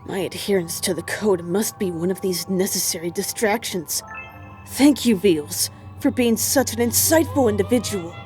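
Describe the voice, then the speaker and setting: A woman performs a solemn killer devoted to her code in an audio drama. She talks in a grand, formal way at a middling pitch, with faint music underneath. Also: normal speed, a little mellow, lightly acted. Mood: earnest resolve. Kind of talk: amateur audio drama acting.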